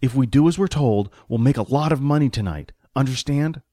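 A man's voice: mid-range voice, a little fast, warm tone, dry recording, studio quality, close to an everyday voice. A man voicing a pragmatic, money-minded hired hand in a fantasy audiobook, explaining things in impatient colloquial talk. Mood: firm.

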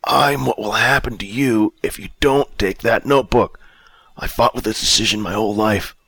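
A man's voice: fairly low pitch, normal speed, creaky, a bit bright, husky, no room sound, voice pushed up, exaggerated voice. A man voicing a bitter, destitute old drunk in an audiobook story, agitated and plaintive. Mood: bitter, weary. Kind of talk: acted audiobook dialogue.

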